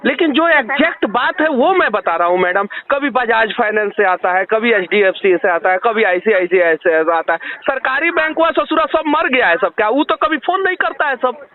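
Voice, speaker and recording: Man, Bihari Hindi accent, very high voice, argumentative, insistent, sarcastic, heated complaint, narrowband phone recording. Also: animated, normal speed. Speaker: caller confronting a loan telemarketer. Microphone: phone line.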